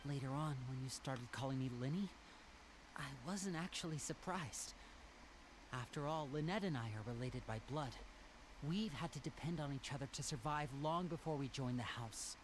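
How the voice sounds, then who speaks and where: An actor playing a young magician and protective older brother, speaking calmly and earnestly in a video game. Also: male voice, fairly high pitch, normal speed, close to an everyday voice. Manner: calm, earnest, gently persuasive. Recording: game audio with clear noise behind.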